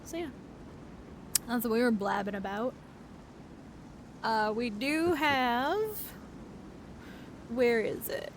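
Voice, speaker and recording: A woman's voice: a little high, slow, pauses often, noisy background. A young adult woman streaming live, chatting casually and giggling often. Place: virtual reality convention, talking from home.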